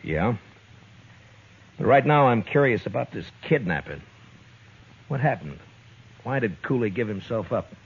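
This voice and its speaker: An American actor playing a tough, dry, plainspoken frontier marshal in a 1950s Western radio drama, gruff, terse and authoritative. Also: male voice, medium pitch, very animated, unhurried, long pauses, slight background noise. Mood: guarded, probing. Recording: dull, muffled 1950s radio recording.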